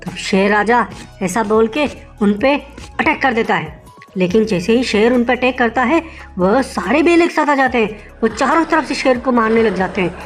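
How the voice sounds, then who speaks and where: A woman's voice: fairly high pitch, expressive, moderate pace. A woman telling an animal fable for children in an informal storytelling style. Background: music.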